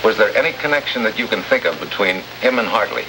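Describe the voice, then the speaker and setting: An actor playing a tough, wisecracking American special agent in an old radio drama, sardonic and slangy. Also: male voice, fairly low pitch, animated, quick pace, natural voice. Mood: brisk, wry. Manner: sardonic, hard-boiled, slangy. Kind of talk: 1950s radio drama acting. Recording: noisy old radio recording, heavy hiss.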